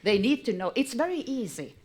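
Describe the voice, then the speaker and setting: A woman's voice: fairly high pitch, very animated, brisk, darkish tone, breathy edge. A woman on a conference panel making her case earnestly and firmly. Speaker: panel speaker. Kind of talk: panel discussion. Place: conference breakout room.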